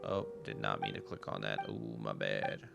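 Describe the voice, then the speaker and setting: A man doing playful live reaction commentary as a game streamer, muttering and repeating phrases, with loud game music behind. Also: very deep voice, level tone, normal speed, creaky.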